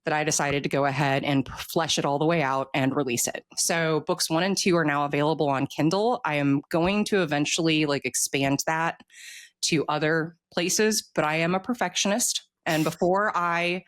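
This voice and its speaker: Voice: mid-range voice, normal speed, fairly bright tone. An author being interviewed, talking casually and informally with emphatic, opinionated delivery.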